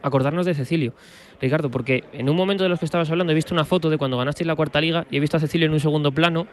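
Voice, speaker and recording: A man co-presenting on live radio, asking questions in a quick, chatty way. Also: a little high, fairly even intonation.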